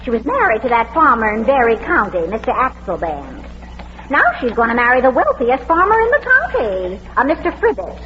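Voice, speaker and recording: A woman's voice: on the high side, very animated, brisk. A woman playing a folksy, gossipy, eager housekeeper in a 1940s radio comedy mystery. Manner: eager, chatty, colloquial. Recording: muffled, hissy vintage radio recording.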